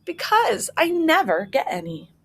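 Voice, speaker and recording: A woman's voice: sits high, very animated, measured pace, pitched above the performer's own voice. A woman voicing a sad, resigned male toad in a children's storybook, with a plaintive manner.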